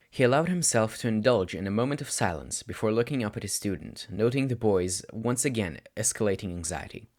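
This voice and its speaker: Low-voiced man narrating a story. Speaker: podfic narrator.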